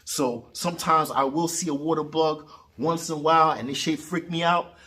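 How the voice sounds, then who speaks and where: A man in his late forties from New York talks boxing in a basement gym. His voice sits a bit high for a man. He is loud and boastful, swears constantly and talks in streetwise slang. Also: fairly even intonation, moderate pace. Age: 40s. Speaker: boxing vlogger. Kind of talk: casual profane vlog rant.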